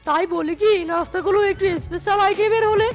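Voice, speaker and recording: A woman's voice: very high voice, quick pace, faint music behind. A woman voicing a sly, hungry younger fox son-in-law in a comic Bengali cartoon, hesitant and complaining. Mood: polite. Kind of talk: Bengali animated comedy story dialogue.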